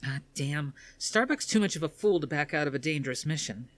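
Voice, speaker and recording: A man's voice: on the high side, animated, brisk, a bit bright, no room sound, voice pushed up. A man voicing an agitated, anguished young woman, a commander's daughter, in a dramatic story reading. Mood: angry, accusing.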